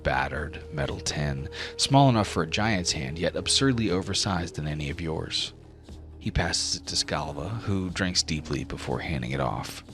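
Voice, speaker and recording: Low voiced guy running a fantasy tabletop game, dramatic storytelling, music clearly playing behind him. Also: fairly bright tone. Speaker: game master.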